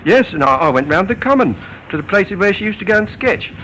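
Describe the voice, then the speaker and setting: A man's voice: high voice, very animated, a little fast, sharp tone, heavily acted. A man playing an eager young postal boy in an old-time radio drama, earnest, hesitant and respectful. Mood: earnest, nervous. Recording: faintly noisy old radio recording.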